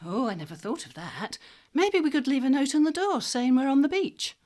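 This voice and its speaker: A woman's voice: high voice, big pitch swings, brisk, darkish tone. A woman playing a wry, teasing shop worker in an audio drama, practical and mischievous, with a dry manner. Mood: coaxing.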